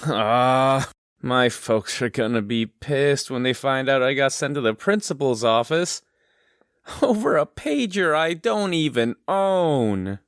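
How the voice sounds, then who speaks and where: A man plays a teenage boy in an audio drama. He grumbles in a laid-back, slow way at a medium pitch. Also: lively intonation, clean tone, dry recording. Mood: annoyed.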